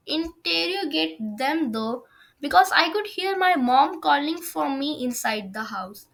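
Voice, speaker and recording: A woman's voice: sits high, gravelly, pushed and bright. A woman doing an amateur read-aloud of a first-person story, now and then stumbling over the words. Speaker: fan fiction reader on own video channel.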